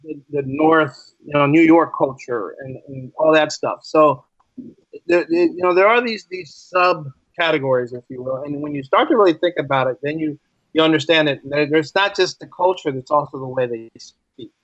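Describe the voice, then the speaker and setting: A man's voice: on the high side, moderate pace, a bit bright, dry recording, highs cut off. A man from the American East Coast, a teacher talking as a podcast guest, explaining his ideas conversationally and often checking in with rhetorical questions. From United States, East Coast. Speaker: podcast guest, English as a second language teacher. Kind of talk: casual interview conversation.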